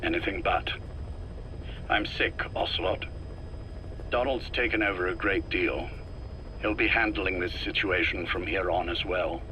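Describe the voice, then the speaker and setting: An actor playing an ailing, calculating older intelligence chief in a video game, speaking in a measured, deliberate and politely menacing way. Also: male voice, low-pitched, sharp tone, slight background noise, poor audio. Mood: weary. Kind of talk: scripted game dialogue, dramatic acting.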